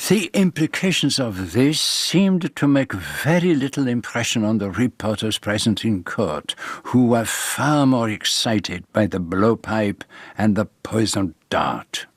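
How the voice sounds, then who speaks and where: An actor playing a courteous, self-assured middle-aged Belgian detective in a radio drama, speaking formally with a French-speaking Belgian accent. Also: male voice, on the low side, expressive, a little slow, husky, no echo. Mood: measured, dry. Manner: formal, precise, dignified. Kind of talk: radio drama dialogue and first-person narration.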